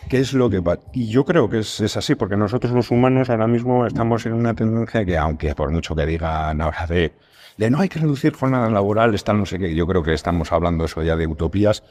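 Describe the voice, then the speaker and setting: A man's voice: fairly low pitch, fast, a little mellow. A man with a Spain accent in casual conversation as a guest, explaining things and repeating phrases for emphasis. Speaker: podcast guest, scriptwriter and audio fiction creator.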